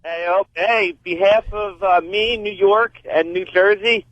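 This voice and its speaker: A man's voice: high-pitched, fairly even intonation, a little slow, a bit bright. A man calling in to a radio show and chatting casually over the phone. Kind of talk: casual chat. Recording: dull lo-fi phone line.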